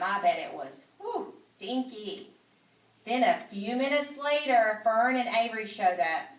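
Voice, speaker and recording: A woman's voice: mid-range voice, fairly bright tone. Woman reading a storybook aloud, echoey room. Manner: informal, chatty asides. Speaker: school principal reading aloud to children. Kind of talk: storybook read-aloud with asides to listeners. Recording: roomy echo, distant microphone.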